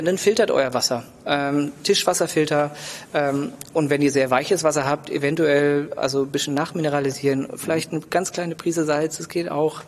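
A man's voice: on the high side, in a large hall. A man from Germany, a coffee roaster, talking as an interview guest with many hesitant fillers and enthusiasm. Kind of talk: casual interview. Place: large building site hall.

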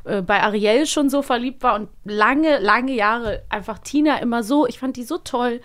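Effusive, higher-voiced woman podcast host. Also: normal speed, fairly bright tone. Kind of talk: casual interview chat. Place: podcast studio.

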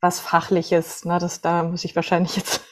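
A woman's voice: fairly low pitch, completely flat delivery, normal speed. A woman from northern Germany, a voice coach and singer, answering conversationally as a podcast guest.

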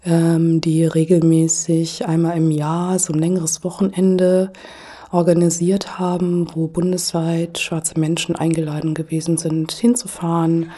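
A middle-aged woman speaking as a podcast guest, thoughtful and hesitant with frequent pauses and fillers. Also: sits low, completely flat delivery, warm tone, husky. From Germany. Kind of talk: reflective conversational interview.

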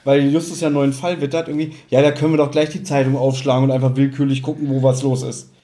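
A man's voice: medium pitch, little pitch movement, fast, mellow. A man in his thirties co-hosting a podcast, casually discussing an audio drama and acting out quotes as he retells. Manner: acts out quotes while retelling. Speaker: podcast co-host.

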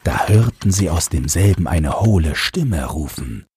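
A man's voice: low voice, animated, measured pace, background noise. A man narrating a scripted fairy tale as an audiobook storyteller, close to the microphone. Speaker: narrator, podcast host.

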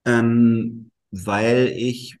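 A man's voice: mid-range voice, level tone, very slow, a little mellow, low-quality recording. A bilingual man who grew up with German and Italian, a guest in a casual interview, explaining thoughtfully at length with many fillers. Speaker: musician and guest.

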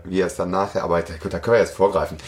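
A man's voice: sits low, little pitch movement, rapid-fire. A man co-hosting a fan podcast, retelling a story in casual conversation. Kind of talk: casual chat and plot retelling.

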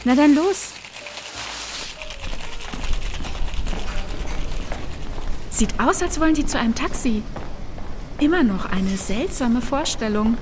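Woman acting edgy determined sci-fi heroine, higher voice that moves around a lot, music under it. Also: animated, moderate pace. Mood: alert. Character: determined, edgy woman searching for her identity. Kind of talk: hobby sci-fi audio drama acting.

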